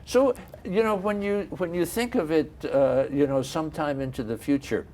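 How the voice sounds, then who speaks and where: A man's voice: fairly high pitch, moderate pace, darkish tone, slight background noise. A man hosting a studio interview, asking eager, encouraging follow-up questions. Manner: curious, encouraging, asks short follow-ups. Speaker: TV interview host.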